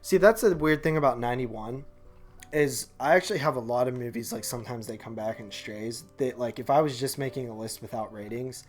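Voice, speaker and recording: A man's voice: medium pitch, brisk, faint music behind. A man co-hosting a movie podcast in casual, slangy chat, often dropping in "like" and "man". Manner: slangy, informal, heavy use of "like" and "man".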